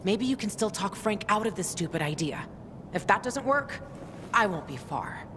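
A woman's voice: lively intonation, normal speed, natural voice. An actress playing a tough, loyal young woman fighter in a video game, blunt and sardonic in manner. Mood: resolute. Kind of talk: dramatic video game acting. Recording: reverberant space with noisy ambient rumble.

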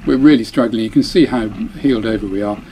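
A man's voice: on the low side, fast, mellow, slight background noise, rough recording. Chatty man commenting on a race. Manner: chatty, self-deprecating, breaks off mid-phrase. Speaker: dinghy racing sailor. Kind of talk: voice-over commentary on race footage.

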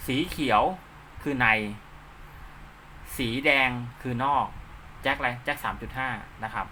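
A man's voice: mid-range voice, unhurried, sharp tone, a little hiss or hum. A man giving an informal hands-on tutorial step by step, often checking that the listener follows. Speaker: instructor, channel host.

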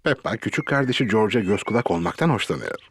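A man's voice: lowish voice, lively intonation, quick pace, faint music behind. A man giving scripted storytelling narration for a young children's cartoon.

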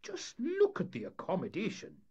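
Guy doing a chatty scottish sailor full of wonder, storybook voice, high and rough. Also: wildly swooping pitch, moderate pace, mellow, lo-fi sound, heavily acted. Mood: amazed. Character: marvelling, talkative Scottish ship's mate. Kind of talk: storybook character voice in humorous tale.

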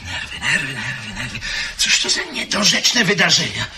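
An actor playing an anxious gentleman in a radio drama who mutters to himself and stammers. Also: mid-range voice, sing-song, normal speed. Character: nervous gentleman guest, jumpy and anxious. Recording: hissy old radio tape.

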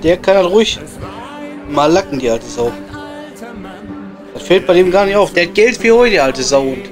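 A man plays a coarse, blunt Ruhr coal miner in a pub comedy. His male voice sits high and chats in broad Ruhr German dialect, with music clearly playing behind it. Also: animated, moderate pace. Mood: exasperated. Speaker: comedy performer. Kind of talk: improvised audio comedy, casual banter.

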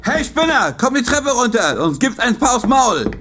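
A man's voice: very high-pitched, big pitch swings, moderate pace, bright, forceful tone, a little hiss or hum, heavily acted. A man acting as a taunting, aggressive brothel thug in a German audio drama, cocky and threatening.

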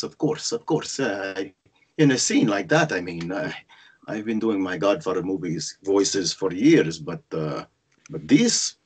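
A man acting a shy, awkward, dutiful son in a screenplay read, with a husky voice and halting delivery. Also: low voice, exaggerated voice. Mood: flustered. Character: Constantine, shy, awkward, dutiful young son and budding actor. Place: indoors on a group video call. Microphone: video call.

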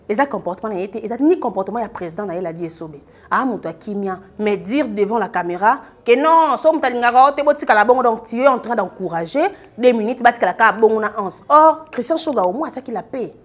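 A middle-aged Congolese woman hosting a talk show to camera, speaking casually and animatedly and repeating phrases for emphasis. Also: a little high. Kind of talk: casual spoken commentary to camera, mixing French and Lingala. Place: living room, indoors. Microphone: lapel mic.

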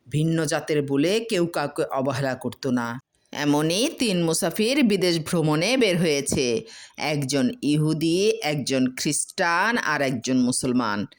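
A woman storyteller giving a scripted narration of a children's tale. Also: sits low.

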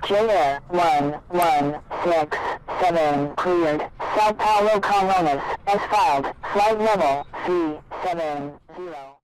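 Male pilot, high register, scripted radio readbacks, faint noise. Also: slow pace. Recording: faintly noisy radio transmission.

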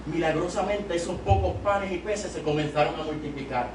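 A man's voice: on the high side, a little fast. A young man with a Puerto Rican Spanish accent preaching an exhorting sermon at a youth service from a church pulpit, holding a handheld mic. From Puerto Rico. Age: young adult. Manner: exhorting, rising to loud emphatic peaks. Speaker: evangelist preacher. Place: small church sanctuary at the pulpit. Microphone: handheld mic, filmed from a far camera. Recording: echoey church room, far camera, noisy.

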